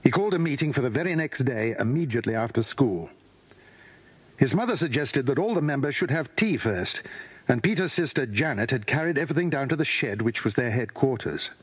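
A man's voice: mid-range voice, lively intonation, a little fast, husky, no echo. A man reading scripted narration for a children's adventure drama. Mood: narrating. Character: male storyteller of a children's adventure. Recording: 1980s cassette with faint music.

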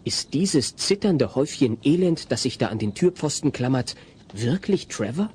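A man's voice: mid-range voice, big pitch swings, normal speed, a little mellow, a little hiss or hum, lightly acted. A man playing the narrator of a comedy radio play, telling the story with wry suspense.

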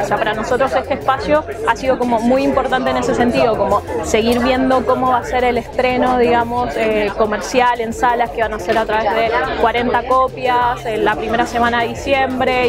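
A woman producer speaks to camera in a fairly high, flat voice, chatty and filler-heavy. Loud music and crowd chatter sit behind her. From Chile. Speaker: executive producer. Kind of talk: interview to camera. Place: rooftop terrace over a city at dusk.

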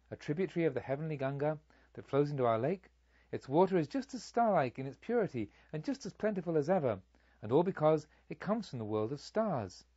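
A man's voice: on the high side, dark tone, no echo. Man playing hopeful male spirit, fervent, declamatory, audiobook dialogue, dry and slightly lo-fi. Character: weary male spirit revived into fervent hope.

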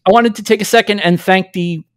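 A man's voice: high-pitched, a little fast, dry recording. A man in his thirties with a New York accent, hosting a casual podcast interview from a small home studio on a large studio mic, chatty and enthusiastic. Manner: enthusiastic, chatty, laughs often. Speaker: podcast host. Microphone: large studio mic with headphones.

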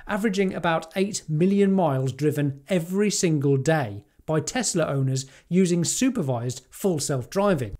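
A man's voice: sits high, a little mellow. A British man in his forties, a tech YouTuber giving scripted, enthusiastic commentary to camera from a home studio, with rhetorical questions and wry asides. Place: home studio with neon sign.

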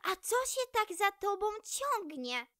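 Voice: extremely high pitch, sing-song, slow pace, sharp tone, no echo, studio quality, heavily acted. A young performer voicing a small, wary, proud female red squirrel, pert and childlike, in a children's story. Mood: chatty.

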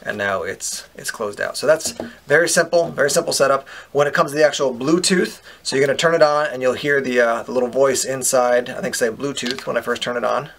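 A man giving a relaxed, step-by-step product demonstration outdoors, explaining as he goes with casual fillers. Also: medium pitch, a little fast, gravelly. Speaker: product reviewer. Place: outdoors on a paved patio.

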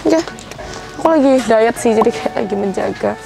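A woman's voice: fairly high pitch, animated, normal speed, creaky, music in the background, rough recording. A young woman vlogging casually as she browses a big supermarket, thinking aloud about what to buy. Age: young adult. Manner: chatty, thinking aloud while browsing shelves. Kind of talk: casual shopping vlog chat. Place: large supermarket indoors.

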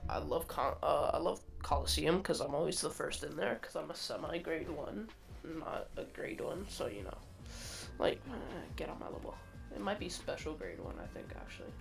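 A young man with a croaky, gravelly voice chats loosely while he plays, full of slang and the odd swear. His pitch swings around a lot. Loud game music plays clearly behind him. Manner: laid-back slangy chatter, swearing. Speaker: game streamer. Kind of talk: casual gameplay commentary.

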